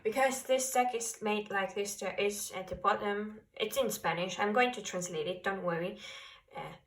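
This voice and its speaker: A woman giving a pick-a-card tarot reading in a gentle, reassuring way, speaking English as a second language. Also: medium pitch, normal speed, far from the mic, slight background noise. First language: not English. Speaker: tarot reader.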